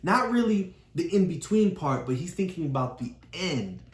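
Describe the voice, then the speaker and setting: A woman's voice: lowish voice, lively intonation, normal speed. A woman talking through a picture book with a child in a patient, explaining way, often repeating key phrases. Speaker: parent reading with a child. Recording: echoey room.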